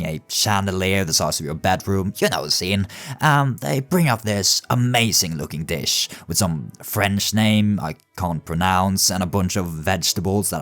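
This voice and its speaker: A man narrating casual scripted video commentary in a wry, sardonic, sweary way. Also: low-pitched. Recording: music behind the voice. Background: background music.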